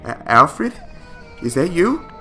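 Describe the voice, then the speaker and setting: A man playing a brash, cocky but easily rattled young space superhero in an audio drama, speaking urgently and loudly. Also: on the high side, very animated, pitched above the performer's own voice, full character voice. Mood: alarmed. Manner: urgent, loud, quick, exclaiming. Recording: background music under the voice.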